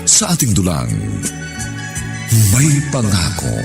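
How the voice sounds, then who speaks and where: A man's voice: low-pitched, wildly swooping pitch, slow pace, music in the background. A man announcing a radio drama in a grand, theatrical style.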